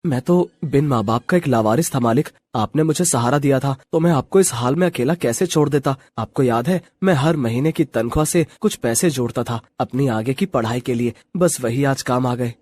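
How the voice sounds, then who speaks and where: A man playing a loyal, devoted orphan youth who helps at a hotel, respectful and earnest, in an animated story. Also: medium pitch, normal speed, few pauses, dry recording, natural voice. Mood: grateful. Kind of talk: animated moral-story dialogue.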